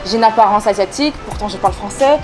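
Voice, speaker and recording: A woman's voice: medium pitch, quick pace, over music. A young woman hosting an interview, engaged and responsive with frequent short acknowledgements. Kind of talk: conversational interview.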